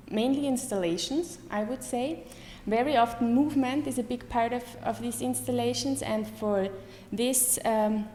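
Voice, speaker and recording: A woman in her thirties with a German accent, giving a live lecture to an audience, pausing often with many ums and restarts. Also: medium pitch, a little mellow. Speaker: artist giving a talk. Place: lecture hall. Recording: echoey hall with faint room noise.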